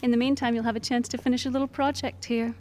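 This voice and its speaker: An actress playing a smug, coolly amused, scheming villainess in an animated video game. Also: female voice, on the high side, fast talker, background noise, lightly acted.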